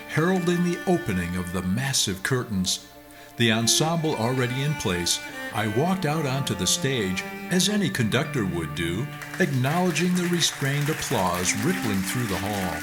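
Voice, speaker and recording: A middle-aged or older man narrates a scripted memoir as a theatrical storyteller. His medium-pitched voice rises and falls widely, with music playing behind him. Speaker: storyteller, former music teacher.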